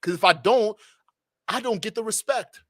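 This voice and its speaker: A young man from Nigeria hosting a live stream, giving an animated, preachy monologue with slangy asides. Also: high-pitched, normal speed, no echo, studio quality.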